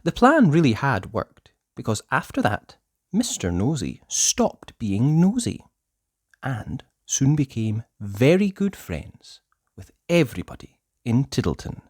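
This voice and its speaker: Slow, highly animated children's storyteller. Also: slightly rough, no room sound, studio quality. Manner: theatrical, deliberate, with hushed passages and emphatic words. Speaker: storyteller reading a children's book aloud. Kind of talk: expressive children's story narration.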